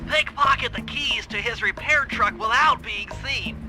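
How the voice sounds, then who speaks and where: A nerdy planner from a video game. The voice is extremely high for a man and very precise, with a pedantic briefing manner. Music plays clearly behind it. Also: measured pace. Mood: focused, instructive. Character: nerdy, precise male brainy mission planner. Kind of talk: video game cutscene acting. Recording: background music.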